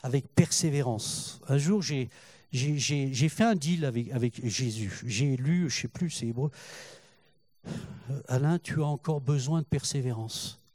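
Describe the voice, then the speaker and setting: A man's voice: mid-range voice, darkish tone, no room sound. Older man preaching in a dry room. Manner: halting, repeats words, rises in fervor. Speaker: pastor. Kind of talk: sermon.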